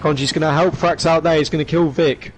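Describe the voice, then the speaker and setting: A man commentating an esports match play by play, hoarse from shouting, with quick excited calls. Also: on the high side, slight background noise. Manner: rapid excited calls with rising bursts. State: hoarse, losing his voice.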